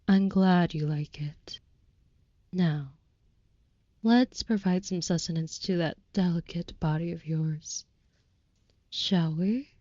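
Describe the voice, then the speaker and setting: Slow, soft vampire girlfriend, voiced by a woman. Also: fairly low pitch, no echo, highs cut off. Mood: tender, adoring. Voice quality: soft, breathy with whispered moments. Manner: florid, reverent, archaic formal wording. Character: devoted, tender female vampire girlfriend, ornately romantic. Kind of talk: intimate audio roleplay with whispery delivery.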